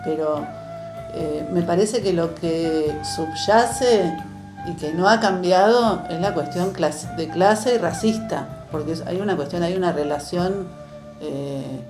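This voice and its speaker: Low-voiced reflective Argentine woman, roomy sound. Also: slow pace. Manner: hesitant, searching for words. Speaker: interviewee. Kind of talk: reflective spontaneous interview talk. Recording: roomy sound with music behind. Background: guitar music.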